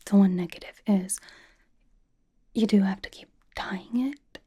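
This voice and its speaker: Casting: woman to play young female pop idol for ASMR roleplay; very breathy whisper, soft dark tone, dryly doting, casual, fussily over-explains comfort. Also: mid-range voice, fairly even intonation, unhurried. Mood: cozy, doting. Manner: hushed, casual, playfully over-explaining, dry emphasis.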